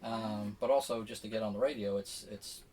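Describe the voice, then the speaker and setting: A man's voice: on the low side, steady tone, brisk, a little mellow, a little hiss or hum. A middle-aged man talking casually as a podcast guest, explaining things a little hesitantly. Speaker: podcast guest, retired sailor. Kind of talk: casual chat. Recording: faint background ambience.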